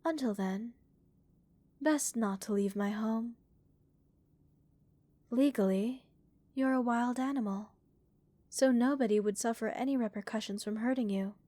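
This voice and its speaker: Slow, soft-spoken alien researcher, played by a woman. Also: a little high, no echo. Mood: calm, reassuring. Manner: measured, reassuring, clinically curious. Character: gentle, curious female alien researcher examining a captured human. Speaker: voice actor in audio roleplay. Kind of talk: soft-spoken ASMR roleplay acting.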